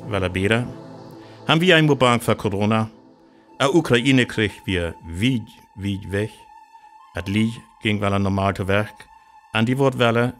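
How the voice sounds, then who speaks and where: A man with a North Frisian accent acting as the grave narrator of a science fiction radio play. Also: lowish voice. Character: grave male narrator of an alien invasion tale. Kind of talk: scripted dramatic narration.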